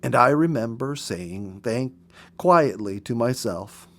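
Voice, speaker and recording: A man with a medium-pitched voice reads a book aloud in an audiobook style. He takes his time, shaping phrases dramatically and leaving pauses between them. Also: lively intonation. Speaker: storyteller reading a book aloud. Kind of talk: expressive narrated audiobook reading.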